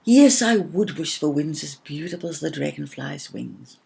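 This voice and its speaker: A woman's voice: sits low, expressive, moderate pace, vocal fry, a little mellow, exaggerated voice. A woman voicing an eager, wishful little female mud fairy from a children's story, earnest and lilting. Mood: eager, wistful.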